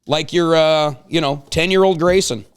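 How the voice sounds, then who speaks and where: A man's voice: a little high, moderate pace, clear, resonant tone. A man hosting a casual talk show, chatting with guests in a playful, joking way. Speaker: show host.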